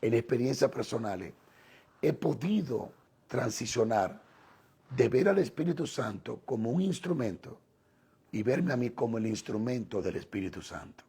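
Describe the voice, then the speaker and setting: A man with a Dominican Spanish accent preaching and teaching scripture in deliberate, emphatic, repeated phrases with pauses. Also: medium pitch, very animated, mellow, slightly rough. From Dominican Republic.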